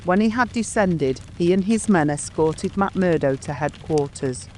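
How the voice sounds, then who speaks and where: A man reading scripted literary narration as an audiobook storyteller in a measured manner. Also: sits high, expressive, darkish tone. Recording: clear background ambience noise.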